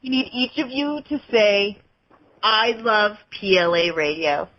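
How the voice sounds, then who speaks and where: A woman's voice: mid-range voice, expressive, measured pace. A woman chatting casually on the phone for a radio show. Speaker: radio show prank caller. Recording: dull lo-fi phone call.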